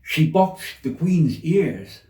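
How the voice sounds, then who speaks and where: A man voicing a timid, anxious rabbit in a storybook reading, speaking in hushed, nervous, halting whispers. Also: fairly high pitch, sing-song, recorded from a distance, voice pushed up, heavily acted. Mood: anxious, secretive. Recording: roomy echo, off the mic.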